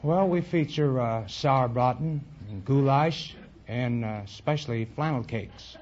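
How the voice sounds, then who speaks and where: A man's voice: mid-range voice, measured pace, darkish tone. A man giving hesitant answers as a quiz show contestant on an old radio recording. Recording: muffled old radio recording, audience noise.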